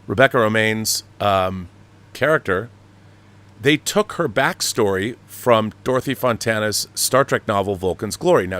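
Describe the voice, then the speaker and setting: A man's voice: on the low side, a bit bright. A man hosting a live talk show, giving emphatic, opinionated commentary with rhetorical questions.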